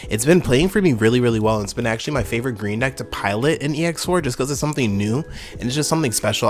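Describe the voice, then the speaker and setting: A young man hosting a card game channel, giving casual gameplay commentary with a slightly nasal voice from a summer cold. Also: mid-range voice. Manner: fast, upbeat, casual gamer talk.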